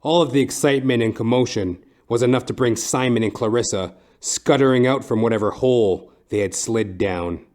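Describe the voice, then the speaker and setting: A man plays a lecherous private eye telling his caper in a noir parody audio play. His middle-pitched voice stays deadpan and deliberate through florid, overblown description. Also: close to an everyday voice. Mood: wry deadpan. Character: wry, lecherous hardboiled male private detective narrator. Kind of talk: scripted noir-parody narration.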